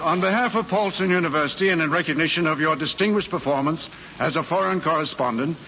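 An actor playing a formal, genial university president in a 1940s radio comedy. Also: male voice, on the high side, fast, natural voice. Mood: ceremonious. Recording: muffled old radio broadcast.

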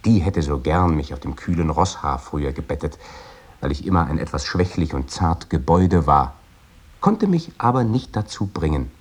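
A male reciter of old memoirs in a radio drama. His voice is deep and rough-grained, with a husky edge. The delivery is measured and reflective, shaped around ornate, old-fashioned literary sentences. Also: very animated, exaggerated voice. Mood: fond, reminiscing.

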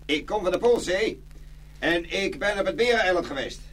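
A man's voice: sits high, animated, moderate pace, distant mic. A man playing the boisterous, boastful North Wind, an unruly son, in a children's fairy-tale radio play. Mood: blustering, boastful. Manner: loud, blustery, boastful.

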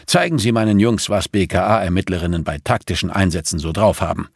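A man's voice: low-pitched, a little fast, vocal fry, slightly rough, no echo, studio quality, full character voice. A man voicing a jovial, patronizing, muscular rich father with a commanding, expansive manner in an audiobook. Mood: eager, patronizing.

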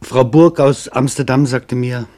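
An actor playing a grave, measured chronicler, narrating a German radio drama in a solemn, deliberate way. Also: male voice, mid-range voice, fairly even intonation, dark tone, natural voice. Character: grave, measured male chronicler reporting witness testimony.

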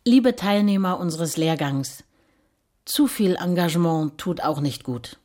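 Formal lady instructor, radio drama, slow, on the lower side. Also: lively intonation, warm tone. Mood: instructive. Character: formal female course instructor addressing participants.

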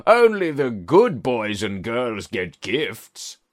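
A man's voice: huge pitch swings, slow. A man voicing a smug, mocking male Santa who bosses everyone around and prefers to be called Lucifer. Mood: smug, bossy. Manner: booming, bossy, cuts others off. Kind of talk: comedy sketch narration.

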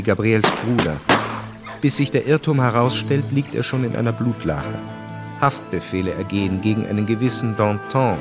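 An actor playing the sober narrator of a historical radio drama, reading scripted present-tense narration. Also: male voice, mid-range voice, natural voice. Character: sober male chronicler of historical events. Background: music.

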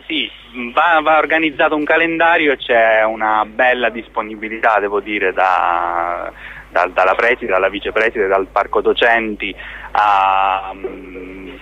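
A man's voice: on the low side, fairly even intonation, measured pace, pushed and bright. A man explaining things in an unscripted radio interview as a theatre company member, often hesitating and searching for words. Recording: dull, lo-fi radio sound.

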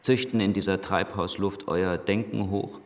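An actor playing a liberal priest and headmaster in a German radio drama, measured, articulate and paternal, gently reproving. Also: male voice, low voice, fairly even intonation. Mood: regretful, thoughtful.

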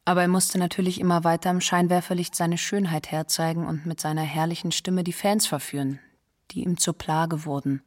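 A woman's voice: lowish voice, flat delivery, a little fast, natural voice. An actress playing a sorrowful woman remembering an admired idol in a scripted radio drama.

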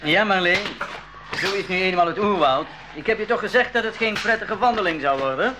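An actor playing a calm, knowledgeable jungle guide in a school radio play, measured and cautioning in manner. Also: male voice, sits high, natural voice. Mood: steady. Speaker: expedition guide. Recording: noisy old radio recording.

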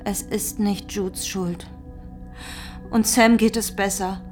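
A woman's voice: mid-range voice, fairly even intonation, slow pace, over music, natural voice. An actress in a German radio drama playing an anxious but defiant farm woman, earnest and insistent. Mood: subdued, pleading.

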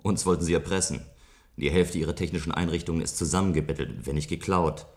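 Suspicious scornful male, low voice, dismissive, emphatic, radio drama. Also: steady tone, quick pace, vocal fry, darkish tone. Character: scornful, suspicious man contemptuous of his hosts. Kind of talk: radio play dialogue.

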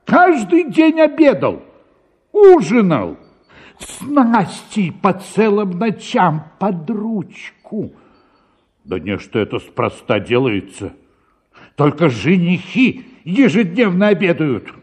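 A man's voice: high voice, wildly swooping pitch, slow, a little mellow, husky, heavily acted. An actor playing a pushy, cajoling middle-aged father, with exclamatory, theatrical delivery in a radio play. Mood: indignant, demanding. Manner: expansive, cajoling, exclamatory, repeats words. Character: pushy, wheedling father bent on marrying off his daughter. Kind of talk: theatrical radio performance of a classic Russian story.

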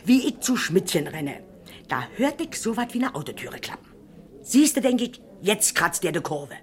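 An actress playing a gossipy, excitable working-class woman in a radio play, chatty and self-important. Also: mid-range voice, normal speed, lightly acted. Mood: insistent. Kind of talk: German radio play dialogue.

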